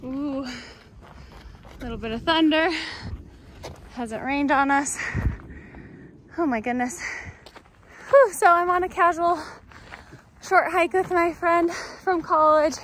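A woman's voice: high voice, fairly even intonation, slow pace, long pauses, a bit bright. A woman in her twenties casually narrating a travel vlog on a phone, effusive and full of wonder. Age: 20s. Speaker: hiking vlogger. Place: outdoors on trails and in a car. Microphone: handheld phone at arm's length. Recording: noisy outdoor ambience and wind.

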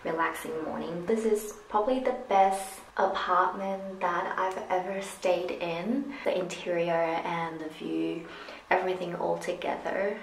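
A woman's voice: lowish voice, fairly even intonation, a little slow, vocal fry, a little hiss or hum. A woman in her twenties chatting casually as a travel vlogger, recorded indoors in an apartment. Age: 20s. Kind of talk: casual vlog chat. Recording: roomy echo with music behind. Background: music.

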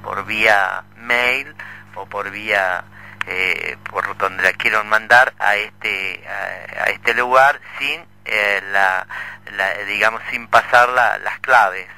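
A middle-aged Argentine man co-hosting a radio show, making announcements in a halting way and often repeating himself. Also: on the low side, steady tone, gravelly, pushed and bright, poor audio. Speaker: radio co-host.

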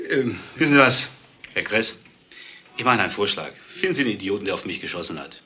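A man's voice: fairly low pitch, animated, fast talker, pushed and bright, slight background noise. An actor playing a curt, proud man in a crime radio play. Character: curt, proud man refusing police protection. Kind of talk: German crime radio drama acting. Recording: dull sound, faint ticking ambience.

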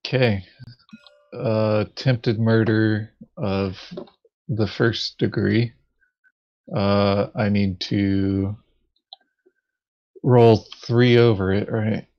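A man playing a board game, chatting casually and thinking aloud in a halting way. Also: on the low side, fairly even intonation, muffled recording. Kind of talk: casual tabletop game chat.

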